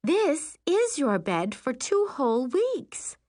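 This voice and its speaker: Woman reading as coaxing mother, raised pitch, eager, animated, audiobook character. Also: slow pace, no echo, clean studio sound, heavily acted.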